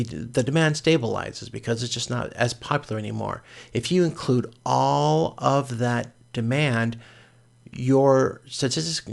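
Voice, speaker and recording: Male instructor, mid-pitched with noticeable vocal fry, informal explanatory delivery, halting and self-rephrasing. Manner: hesitant, explanatory, often repeats and rephrases. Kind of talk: informal explanatory lecture, screen walkthrough.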